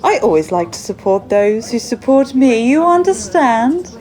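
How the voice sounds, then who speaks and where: A woman's voice: a little high, big pitch swings, normal speed, a little mellow. A woman playing a smug, bossy village baking champion in a comic radio drama. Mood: smug, simpering.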